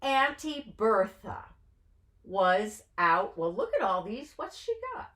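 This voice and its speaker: A woman's voice: medium pitch, animated, takes it slowly, a bit bright. A woman reading a picture book to children expressively, putting questions to her young listeners. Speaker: children's story reader.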